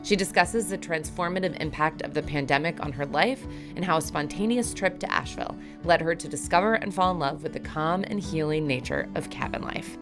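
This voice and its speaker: A woman with a low, deep voice hosting a podcast. She reads her intro smoothly and then moves into lively, curious questions. Music plays clearly behind her. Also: fairly quick, hardly any pauses. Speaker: podcast host. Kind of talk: scripted intro, then conversational interviewing.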